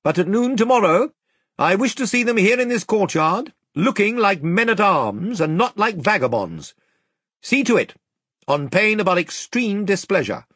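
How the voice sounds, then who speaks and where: A man's voice: high voice, sing-song, a bit bright, slightly rough, dry recording, studio quality, pitched above the performer's own voice, exaggerated voice. A man voicing a regal young king in a storybook, stern but gracious, with formal, imperious delivery. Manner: formal, imperious, measured proclamation.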